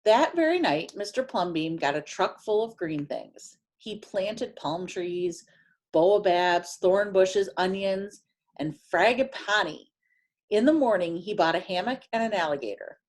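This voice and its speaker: Animated woman reading a picture book aloud. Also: medium pitch. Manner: animated, emphatic storytelling with drawn-out phrases. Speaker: teacher reading aloud to children.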